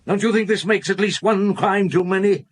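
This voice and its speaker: An actor playing a weary, probing middle-aged police chief inspector in a radio drama, deliberate and authoritative, with a husky voice. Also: male voice, sits high. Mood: stern, accusing. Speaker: lead actor and narrator in a radio detective drama.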